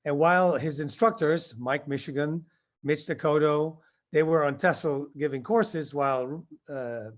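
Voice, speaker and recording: A man in his sixties, born in Indonesia, answering interview questions about his past and recalling it haltingly with many fillers. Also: on the high side. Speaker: guest, skydiving instructor.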